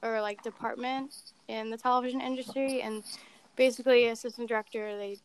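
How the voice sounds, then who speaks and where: A woman in her twenties, a podcast guest, chatting casually about her work in a level, monotone way. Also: on the high side, brisk, clear, resonant tone. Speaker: podcast guest, assistant director. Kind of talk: casual chat.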